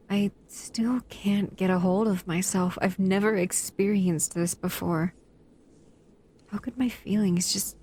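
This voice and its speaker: Casting: actress as female shadow monster for intimate roleplay audio. Unhurried, mellow, soothing, powerful and possessive but tender, slipping toward whispers. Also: mid-range voice, slightly rough. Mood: intrigued, possessive. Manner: soft, soothing, hesitant, often dropping into whispers. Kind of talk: intimate scripted roleplay audio addressed to the listener.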